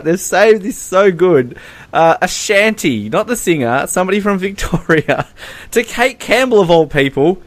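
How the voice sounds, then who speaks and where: An Australian man co-hosting a podcast in casual chat, rambling along with a joking, mocking manner. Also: sits high. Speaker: podcast co-host.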